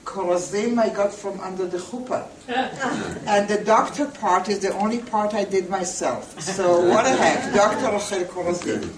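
A woman lecturing to a group in a deliberate, emphatic way, putting questions straight to her listeners. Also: medium pitch. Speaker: lecturer, museum educator. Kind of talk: public lecture to a seated group. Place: indoor meeting room. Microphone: camera a few metres away. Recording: roomy, distant camera microphone with noise.

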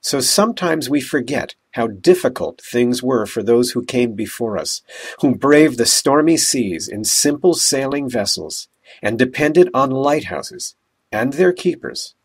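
Husky man narrating a documentary. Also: mid-range voice. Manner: calm, measured storytelling. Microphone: voice-over.

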